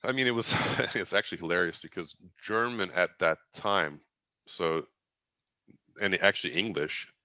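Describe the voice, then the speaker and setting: A man's voice: low voice, moderate pace, pushed and bright, dry recording. A man in his forties from Turkey, Turkish his first language, recounting his life in a rambling, conversational way with many restarts. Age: 40s. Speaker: guest. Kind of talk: casual interview talk.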